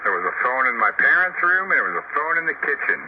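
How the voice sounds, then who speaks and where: A man's voice: medium pitch, big pitch swings, fast. An older man in casual, reminiscing conversation, talking over a two-way radio as one of a group of operators. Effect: radio transmission. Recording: dull noisy two-way radio transmission.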